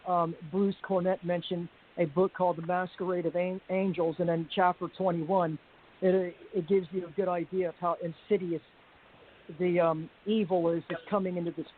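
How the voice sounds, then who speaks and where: A woman with a deep voice speaks over a thin, phone-like line with a faint hiss underneath. She rambles through a personal account, pausing on fillers and restarting words, her pitch staying fairly level. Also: little pitch movement. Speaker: panel guest. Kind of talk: personal account on a group call.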